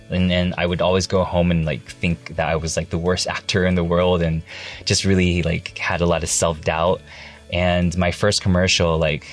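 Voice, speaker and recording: A young man in casual interview chat, laid-back and rambling with lots of fillers, laughing easily. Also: deep voice. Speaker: guest, actor and singer.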